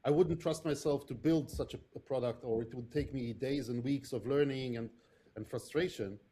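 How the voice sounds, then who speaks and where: A man's voice: medium pitch, moderate pace, warm tone, a little hiss or hum. A middle-aged man, a product leader giving a thoughtful interview answer, with many restarts and fillers. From Israel. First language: not English. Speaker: podcast guest, product leader.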